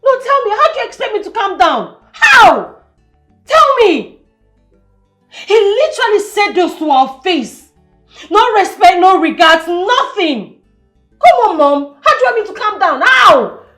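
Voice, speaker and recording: A humiliated young princess in a film melodrama. Her voice is high and moves up and down widely, with petulant, emphatic repetition and a quick, vengeful temper. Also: lively intonation, reverberant, faint music behind. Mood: outraged. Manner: emphatic, repeats herself, petulant.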